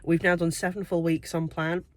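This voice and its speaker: A woman's voice: lowish voice, little pitch movement, normal speed. A woman talking casually to camera as a weight-loss vlogger, chatty and reflective with frequent ums and light laughs.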